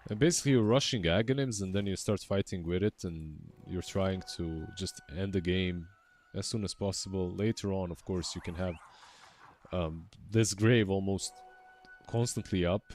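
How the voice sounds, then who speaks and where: Low-voiced young male esports caster. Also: normal speed. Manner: relaxed, chatty, trails off often. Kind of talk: casual esports commentary.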